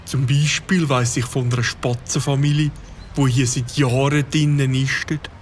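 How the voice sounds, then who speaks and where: A man's voice: mid-range voice, level tone, normal speed, slight background noise, close to an everyday voice. A man voicing a fussy, learned bird-watcher in a cartoon, speaking German with a Swiss accent and a pompous, long-winded manner. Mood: earnest.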